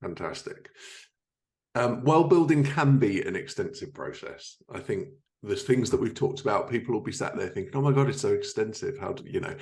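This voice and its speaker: A man's voice: on the low side, animated, normal speed, gravelly, a little mellow. A man hosting a casual podcast interview, agreeable and easygoing. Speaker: podcast host.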